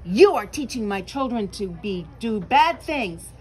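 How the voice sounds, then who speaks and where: A woman's voice: medium pitch, sing-song, measured pace, a little mellow. A woman voicing a strict, emphatic mother bird scolding youngsters in a storybook reading. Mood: stern. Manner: stern, emphatic.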